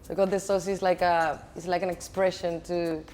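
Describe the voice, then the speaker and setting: A woman from Chile, raised in Spain, who speaks. She is a DJ and event organizer giving relaxed, chatty interview answers full of "like" and "you know". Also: on the low side, normal speed, slight background noise. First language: Spanish.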